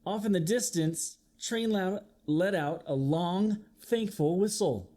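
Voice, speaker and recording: A man's voice: high voice, takes it slowly. A man reading a children's picture book aloud as a teacher would, expressively, putting questions to his young listeners. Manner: expressive, acts out sound effects, asks listeners questions. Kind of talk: animated children's storybook read-aloud.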